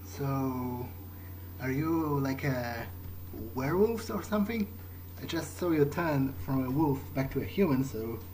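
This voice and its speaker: A man playing a nervous novice vampire in a game, thinking aloud in a hesitant, halting way. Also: mid-range voice, lively intonation, darkish tone, a little hiss or hum, lightly acted. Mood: alarmed, nervous. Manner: hesitant, halting, muttering to himself. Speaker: let's player role-playing in character. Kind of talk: in-character game playthrough narration. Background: game music.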